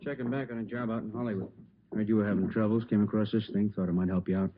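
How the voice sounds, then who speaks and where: Man acting plain talking old radio cop, low voice, terse, tinny phone-like recording. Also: fairly even intonation, fast, very noisy, close to an everyday voice. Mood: businesslike. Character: helpful, plainspoken male burglary detective. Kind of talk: 1950s radio drama acting. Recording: tinny, band-limited vintage radio recording.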